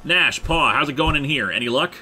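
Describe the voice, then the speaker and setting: A man acting as a brisk, practical leader of trapped survivors, businesslike and decisive. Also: fairly high pitch, lively intonation, noisy background, close to an everyday voice. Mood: concerned.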